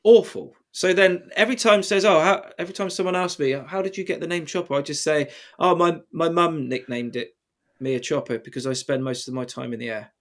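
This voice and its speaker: A man's voice: on the high side, fairly even intonation, brisk. A British man chatting casually as a podcast guest, telling self-deprecating anecdotes in an informal way. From Britain. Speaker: podcast guest, professional mountain biker. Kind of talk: casual interview chat.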